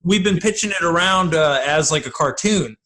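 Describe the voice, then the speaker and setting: A man's voice: a little high, moderate pace, a crowd in the background. An American man talking casually as a podcast guest who creates audio drama. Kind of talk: casual chat.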